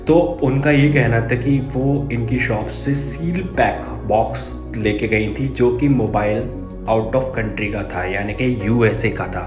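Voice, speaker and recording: A young man narrating a casual vlog, warning viewers in an earnest tone while talking into his phone. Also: lowish voice. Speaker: vlogger. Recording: echoey with loud music behind.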